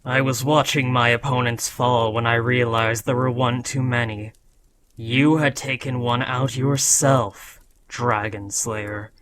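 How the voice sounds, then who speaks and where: A man's voice: mid-range voice, steady tone, slow, background noise, lightly acted. A man voicing an ancient, proud dragon who scolds a beloved rider in a solemn, formal, dramatic fantasy roleplay. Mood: stern reproach.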